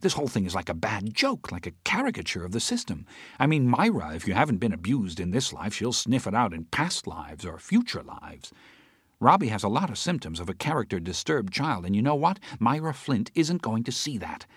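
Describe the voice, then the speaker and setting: An exasperated, sarcastic woman in an audiobook, as a male narrator raises his voice to play her. She talks in heated, scornful rants, and her pitch lifts well above his own low register. The sound is close and dry. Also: sing-song, husky, no room sound. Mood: indignant. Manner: indignant, rapid sarcastic rants. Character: agitated outspoken adult woman friend. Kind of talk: audiobook character voice.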